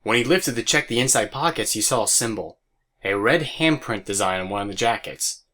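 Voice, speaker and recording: A man's voice: fairly low pitch, animated, a little fast, pushed and bright. A man narrating an audio drama as a scripted story. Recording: music bed behind voice.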